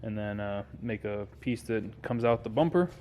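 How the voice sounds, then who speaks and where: A man's voice: lowish voice, lively intonation, moderate pace, a little mellow. An American man narrating a garage car-build vlog informally, thinking aloud as he works. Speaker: car builder and video host. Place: home garage.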